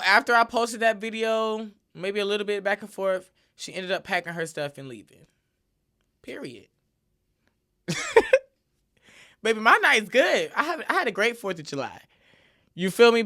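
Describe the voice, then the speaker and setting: A woman's voice: mid-range voice, a bit bright. A woman hosting her own podcast, talking casually and emphatically on her own with a lot of repeated filler tags. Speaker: podcast host. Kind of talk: casual solo podcast monologue.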